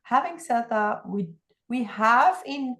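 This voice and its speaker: A congested woman with a raspy voice, answering questions at length in an online info session. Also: a little high, lively intonation, slow pace, a bit bright. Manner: talks at length in long explanatory runs. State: congested, hoarse from illness. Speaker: partnerships staff member.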